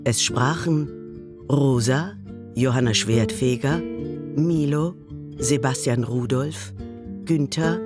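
A man reading scripted radio credits as an announcer. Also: medium pitch. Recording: guitar music behind the voice.

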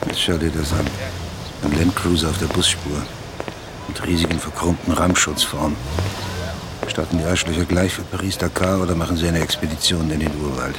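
Casting: gruff, sarcastic reporter, very low voice, muttering to himself, radio thriller with loud street traffic. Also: male voice, flat delivery, normal speed. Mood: sardonic irritation. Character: sardonic, impatient middle-aged male investigative journalist. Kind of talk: radio drama acting, muttered inner monologue. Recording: clearly noisy street traffic behind. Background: cars and street ambience.

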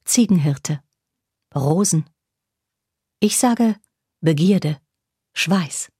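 A woman reciting isolated words of poetry in a husky, dark voice. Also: on the low side, animated, very slow, no echo, clean studio sound.

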